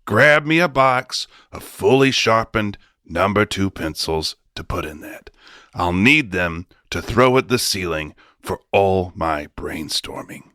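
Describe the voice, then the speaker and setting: A man's voice: low voice, animated, slow pace, pushed and bright, dry recording, full character voice. A man playing a brash, self-important new studio chief in a comedic amateur radio play, bossy and pompous.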